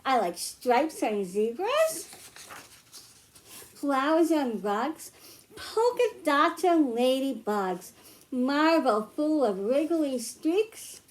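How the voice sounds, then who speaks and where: American female children's librarian, high register, slow delivery, child-directed read-aloud and simple teaching. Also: sing-song, slight background noise. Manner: simple, child-directed phrasing with questions to listeners. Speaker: children's librarian hosting storytime.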